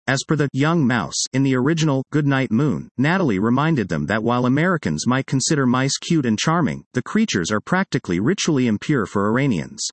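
A man narrating a magazine article aloud, with an expressive read-aloud delivery. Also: medium pitch, no room sound.

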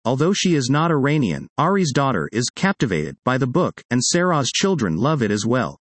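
A man narrating a magazine article aloud, with an expressive read-aloud delivery. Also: mid-range voice, normal speed, no room sound.

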